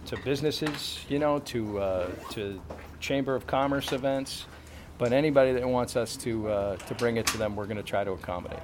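A man speaking for his company, answering press questions hesitantly, with many pauses and restarts. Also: medium pitch, slight background noise. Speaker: company spokesperson.

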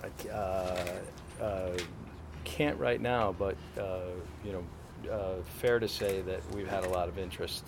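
A man speaking at a press conference, answering reporters in a halting way with many fillers. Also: low-pitched, steady tone, creaky. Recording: field recording with background noise.

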